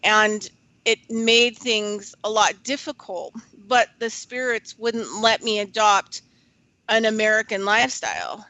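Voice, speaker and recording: A woman hosting a radio show, talking reflectively and hesitantly with many pauses. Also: medium pitch, sharp tone, dry recording.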